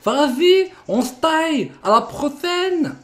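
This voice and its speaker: A man's voice: very high voice, big pitch swings, slow, fairly bright tone, pitched above the performer's own voice, exaggerated voice. A man voicing a brash young street-gang grunt who talks in swaggering slang and laughs through his taunts. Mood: sulky.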